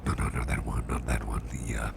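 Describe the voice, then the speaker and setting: A man voicing a courteous, attentive businessman boss in soft, breathy ASMR roleplay, speaking gently and politely and repeating his phrases. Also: level tone, brisk, noisy background, exaggerated voice. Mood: obliging, pleased. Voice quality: very deep, gravelly, breathy near-whisper.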